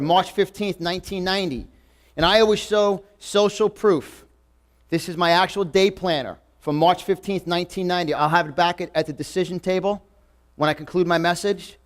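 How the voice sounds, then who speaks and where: A man in his forties with a New York accent, giving an emphatic, repetitive motivational talk to a live audience. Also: high voice. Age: 40s. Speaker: motivational speaker. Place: event room before a live audience.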